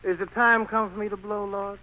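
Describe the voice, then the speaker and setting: An actor playing a deferential, concerned, folksy angel in a 1940s radio drama. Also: male voice, high-pitched, little pitch movement, brisk, sharp tone. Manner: respectful, folksy. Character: Gabriel, deferential, concerned male angel attending the Lord. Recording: noisy vintage 1940s radio broadcast.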